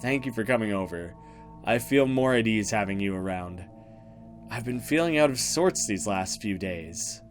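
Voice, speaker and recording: A man's voice: lowish voice, expressive, normal speed, natural voice. A man reads the lines of an anxious young woman in a horror visual novel. She is easily scared and speaks earnestly to her friend with an uneasy edge. Music sits behind the voice. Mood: grateful. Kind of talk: visual novel dialogue read aloud.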